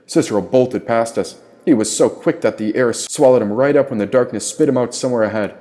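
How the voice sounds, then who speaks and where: A man's voice: medium pitch, fairly quick, dark tone. A man reading his own first-person story as dramatic audiobook narration.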